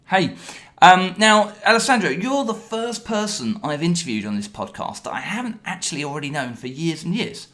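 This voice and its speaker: A man hosting a podcast, asking a guest a list of questions in a brisk, lightly teasing way. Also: a little high, sing-song, fairly bright tone. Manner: brisk, scripted intro then questions. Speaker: podcast host. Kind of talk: podcast interview.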